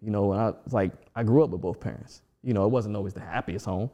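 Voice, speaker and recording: A man's voice: lowish voice, fast, mellow. Reflective man in his forties. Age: 40s. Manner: reflective, many restarts and fillers. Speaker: guest. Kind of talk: casual conversation.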